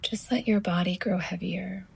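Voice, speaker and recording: A woman guiding a relaxation meditation as a yoga teacher, in a hushed, breathy near-whisper, gently and with long pauses between phrases. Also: on the low side, steady tone, a little hiss or hum.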